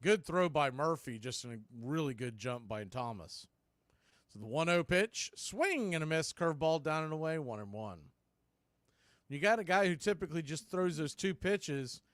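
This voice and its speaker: Smooth, dry-voiced play-by-play man. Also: fairly high pitch, sing-song, moderate pace, a bit bright, no echo. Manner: conversational, opinionated fan commentary. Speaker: fan radio play-by-play host. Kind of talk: play-by-play baseball commentary.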